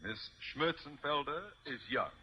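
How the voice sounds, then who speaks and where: An actor playing a pompous, bossy school principal with an orotund, theatrical delivery in a radio sitcom. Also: male voice, fairly high pitch, animated, measured pace. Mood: pompous, dismissive. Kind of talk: 1950s American radio sitcom acting. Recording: dull old radio recording, faint noise.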